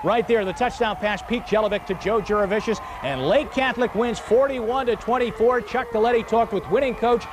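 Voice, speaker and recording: A high-voiced male sportscaster calls football highlights in punchy bursts of short phrases. Noticeable noise runs behind his voice. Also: fairly quick, hardly any pauses, crowd noise.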